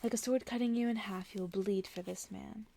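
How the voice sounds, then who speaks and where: A woman voicing a weary, blunt old witch in a soft ASMR storybook reading, dry and chiding in manner. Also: mid-range voice, fairly even intonation, fairly quick, vocal fry, a little hiss or hum, lightly acted. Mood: grave.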